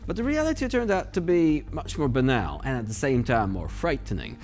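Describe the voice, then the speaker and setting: A man's voice: mid-range voice, big pitch swings, brisk, darkish tone, soft background music. A man hosting a news show, delivering scripted satirical commentary in a sardonic, mocking manner.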